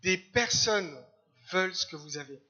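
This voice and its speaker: A man from Algeria preaching a church sermon in short phrases, pausing for an interpreter. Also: sits high, animated, pushed and bright, muffled recording. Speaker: guest preacher. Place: church service.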